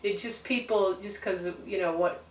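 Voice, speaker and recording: A woman's voice: mid-range voice, steady tone, normal speed. A middle-aged woman recalling family events conversationally as an interviewee. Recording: echoey room, distant mic, faint noise.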